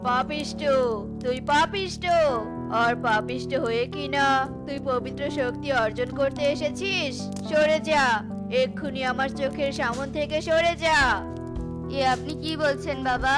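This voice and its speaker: A woman's voice: high-pitched, unhurried, music in the background. A scolding, scheming fake holy man. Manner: scolding, dramatic. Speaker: voice actor for several characters.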